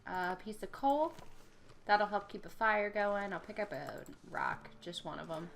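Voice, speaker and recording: A woman's voice: medium pitch, lively intonation, unhurried, creaky, a bit bright, a little hiss or hum. A young adult woman with a cold, sounding a bit nasal and stuffed up, chatting casually as she plays a survival game and thinks aloud. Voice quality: slightly nasal. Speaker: let's play gamer. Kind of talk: casual gameplay commentary.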